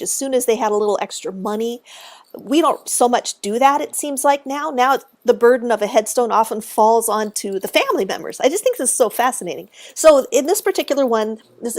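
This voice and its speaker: Bubbly high-voiced woman from texas, chatty, on a video call stream. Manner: exuberant, chatty. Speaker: guest speaker on cemetery history. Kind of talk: live-stream interview, casual explaining.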